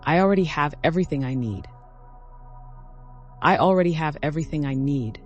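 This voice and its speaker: Higher-voiced man guiding a meditation. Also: fairly quick, lo-fi sound. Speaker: meditation guide. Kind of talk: scripted guided meditation narration. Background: background music.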